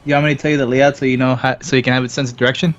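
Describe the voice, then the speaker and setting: A man's voice: medium pitch, flat delivery, racing, lo-fi sound. A man co-commentating casually and jokily on a game stream, with game music behind him. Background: video game music.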